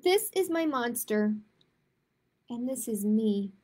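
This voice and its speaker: A woman hosting a children's storytime, reading picture books aloud and chatting to families in an expressive way. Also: on the high side, takes it slowly. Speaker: children's librarian, storytime host. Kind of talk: picture book read-aloud and chatty announcements to young families.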